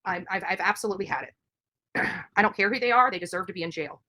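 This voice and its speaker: A woman speaks at a rapid clip in a bright, forceful voice. She is a live streamer on an indignant rant, hammering points home by repeating them. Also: medium pitch. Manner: emphatic, indignant, repeats herself.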